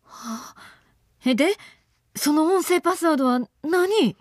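Casting: frightened young Kansai woman in radio zombie comedy, high-pitched, slow drawn-out delivery, exasperated and pleading. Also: lively intonation, darkish tone, no room sound, clean studio sound. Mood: incredulous. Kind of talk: comedic radio drama acting.

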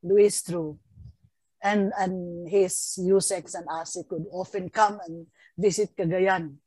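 A woman in her sixties with a Filipino accent, recalling her long career in measured, hesitant speech. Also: fairly low pitch, warm tone, dry recording, rough recording. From Philippines, Mindanao. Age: 60s. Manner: measured, hesitant with many fillers. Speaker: retired education official, guest. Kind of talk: reflective interview answers.

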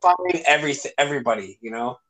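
A man's voice: mid-range voice, animated, fast, a crowd in the background. A man hosting a podcast, talking in a loose, friendly way and swearing often as he thanks his guests. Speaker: podcast host. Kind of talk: casual podcast chat.

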